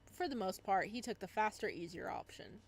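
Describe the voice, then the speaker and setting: A woman's voice: mid-range voice, lively intonation, fairly quick, slight background noise. A woman hosting a playthrough, reading visual novel narration aloud expressively, with casual asides.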